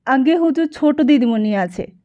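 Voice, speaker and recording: Woman as a deferential servant man, raised pitch. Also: expressive, normal speed, phone-quality sound. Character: deferential male household servant, hesitant and polite. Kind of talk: audiobook character dialogue.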